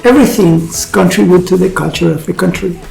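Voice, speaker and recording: A middle-aged man from Colombia, his first tongue, speaks slowly and with care in a heavily gravelly voice. He sits in a roomy, echoing space with faint music under him. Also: high voice, a little mellow. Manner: slow, halting, careful phrasing. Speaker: guest. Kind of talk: interview answers.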